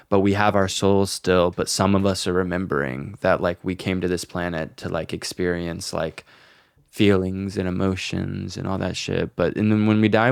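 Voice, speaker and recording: Young male podcast co-host, smooth low voice, close dry sound, slangy and profane. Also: no room sound. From small town. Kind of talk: casual chat.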